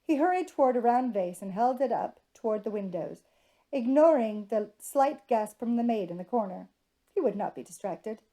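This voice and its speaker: A woman's voice: a little high, expressive, moderate pace, dark tone. A woman reading scripted fiction narration from a storybook.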